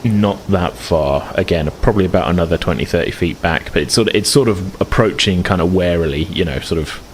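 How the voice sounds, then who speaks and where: A man's voice: sits low, a little fast, a little hiss or hum. A man acting as game master, describing scenes and rules in a loose, rambling way with many restarts. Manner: rambling, lots of fillers and restarts. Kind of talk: narrating a tabletop game, casual.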